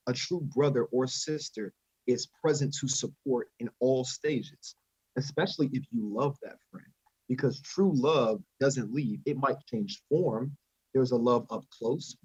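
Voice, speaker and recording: A man's voice: mid-range voice, darkish tone, no room sound. A young man, a student panelist, speaking in an articulate, earnest way. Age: young adult. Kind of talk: panel discussion. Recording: dry, dull, husky sound.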